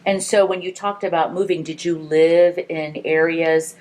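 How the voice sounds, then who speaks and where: Low-voiced woman interviewer. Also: steady tone, normal speed, darkish tone. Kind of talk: oral history interview.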